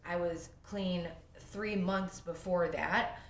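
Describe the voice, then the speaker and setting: A young woman talks about her own life at home, her low voice rambling along with plenty of fillers and restarts. She sounds sniffly from a cold. The room is echoey, and a faint hiss sits underneath. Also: steady tone, slow pace. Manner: rambling, lots of fillers and self-interruptions. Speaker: vlogger sharing her recovery story. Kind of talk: casual first-person storytelling.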